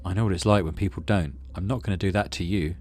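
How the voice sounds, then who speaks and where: A man's voice: low voice, quick pace, a little mellow. A man voicing an earnest, easily flustered young male friend in a visual novel. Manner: exclaiming, stammering when flustered.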